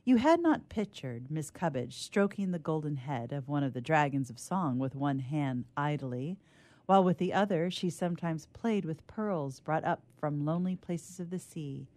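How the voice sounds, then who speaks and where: A woman reading a literary tale aloud as a storyteller, in a measured, theatrical manner. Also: low voice, darkish tone.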